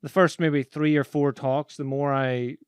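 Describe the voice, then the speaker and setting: A man's voice: fairly high pitch, unhurried, clear, resonant tone, no room sound, studio quality. An Irish man in casual conversation, telling stories animatedly and laughing as he talks. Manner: animated storyteller, laughs while talking. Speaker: podcast host and speaking coach.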